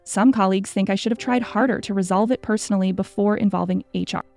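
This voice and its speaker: Female narrator for scripted stories; mellow tone with vocal fry. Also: medium pitch, fairly even intonation, fast talker, faint music behind. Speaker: story narrator.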